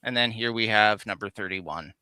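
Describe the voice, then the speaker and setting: Very bright, forceful man chatting. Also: fairly low pitch, moderate pace, smooth, no echo. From Canada. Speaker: co-host of a geek culture livestream. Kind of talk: casual chat, showing off finds.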